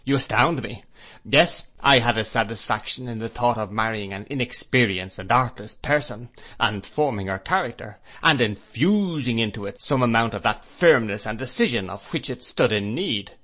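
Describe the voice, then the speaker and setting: A man voicing a stern, domineering husband who lectures his wife in slow, cold tones. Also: medium pitch, animated, bright, forceful tone.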